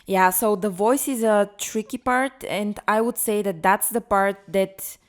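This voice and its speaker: Woman podcast cohost explaining speaking tips, calm, trails off at the ends. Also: mid-range voice, measured pace. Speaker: podcast co-host, presentation coach. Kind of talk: conversational podcast advice.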